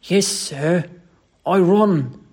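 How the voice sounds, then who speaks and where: A reader voicing a young Cockney ex-soldier servant in an audiobook story, rough-spoken, emphatic and deferential. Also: mid-range voice, drawn-out words, dark tone. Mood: embarrassed, earnest.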